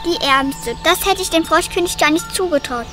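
A woman's voice: extremely high pitch, moderate pace. A woman acting in a children's radio play, chatty and cheerful, with music behind her. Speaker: amateur radio play actor.

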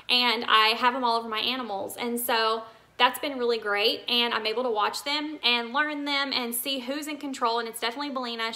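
Bright, high-voiced, enthusiastic woman vlogger. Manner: enthusiastic, gushing. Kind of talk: casual chat to viewers. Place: indoor living room, seated.

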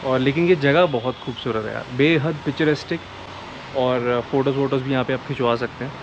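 A man's voice: mid-range voice, brisk, lo-fi sound, noisy background. A man in his forties filming a casual travel vlog at outdoor ruins, talking to a handheld camera and slipping English words into his Hindi. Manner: chatty, mixes English into his Hindi, says "yaar" often. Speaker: travel vlogger. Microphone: handheld camera at arm's length.

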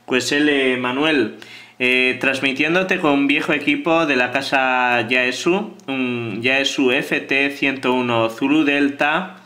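A man works as a ham radio operator. His voice is bright and forward at a middle pitch, and he calls out phonetic call signs and contact details. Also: slow.

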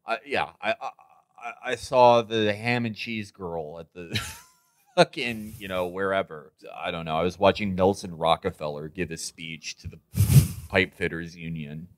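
A man's voice: low voice, a little slow, no echo. A man co-hosting a podcast, riffing casually in a sardonic, rambling, profane way. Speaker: podcast co-host.

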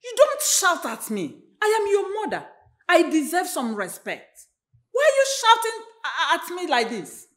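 Nigerian mother, middle-aged, sharp and forceful, animated, indignant, domineering, film drama. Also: very high voice, moderate pace, full character voice. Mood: outraged. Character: indignant, domineering village mother scolding her grown daughter. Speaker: actress. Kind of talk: emotional film drama acting. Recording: echoey room, music underneath.

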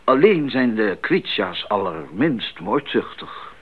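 Older doctor, earnest, formal, explanatory, expressive pitch, 1980s radio drama, narrow muffled sound. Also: male voice, slow pace, slight background noise. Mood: genial, explanatory. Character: older missionary doctor, earnest, genial, experienced mentor. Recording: muffled band-limited 1980s radio recording.

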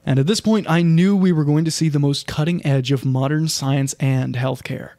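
Creaky-voiced earnest reporter, acted by a man. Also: fairly high pitch, steady tone, moderate pace, dark tone. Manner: stammering when addressing people. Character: earnest, flustered male field journalist reporting on a hospital. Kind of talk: comedic audio drama, mock news report.

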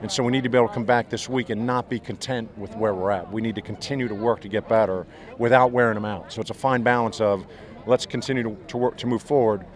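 A middle-aged man, a head football coach, giving a postgame interview outdoors on the field, talking fast and restarting his sentences often. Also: lowish voice, steady tone. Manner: fast, rambling, many restarts. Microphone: handheld mic held by interviewer.

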